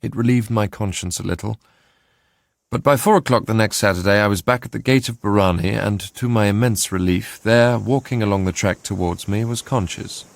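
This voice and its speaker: Casting: reflective young narrator of a radio play; low, gravelly, understated first-person storytelling. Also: male voice, brisk, dry recording. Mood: rueful. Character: reflective young man narrating his own troubled past.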